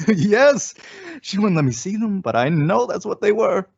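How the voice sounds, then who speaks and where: Fussing, excitable radio husband played by a man, widely swinging intonation, dull lo-fi vintage sound. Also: sits high, measured pace, vocal fry, husky, heavily acted. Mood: excited, confiding. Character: doting husband, excitable, eager and fussing father-to-be. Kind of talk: 1940s radio sitcom acting. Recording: muffled old-time radio style recording.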